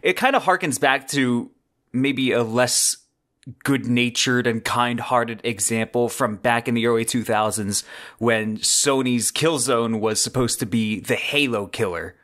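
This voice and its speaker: A man's voice: medium pitch, measured pace, fairly bright tone. Conversational man hosting a solo podcast. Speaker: podcast host. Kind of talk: solo podcast commentary, semi-scripted. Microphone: close desk-mounted microphone on boom arm.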